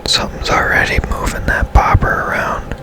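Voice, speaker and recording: A man whispering casual, unhurried ASMR commentary as he plays a video game. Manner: whispering throughout. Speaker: gaming streamer.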